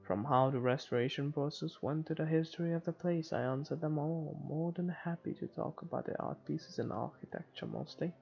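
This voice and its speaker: A man reading visual novel narration aloud for a playthrough, in an informal, somewhat halting way. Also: fairly high pitch, vocal fry, a little mellow. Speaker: video game let's player. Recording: slightly muffled, music underneath.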